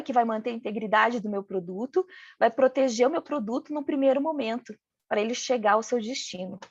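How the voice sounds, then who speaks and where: A woman's voice: on the high side, dry recording, highs cut off. A woman with a regional Brazilian accent giving a training lecture, speaking as if she were a business owner and often adding "né?". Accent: regional Brazilian Portuguese. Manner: talks in first person as a business owner, many "né" tags. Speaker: packaging design instructor.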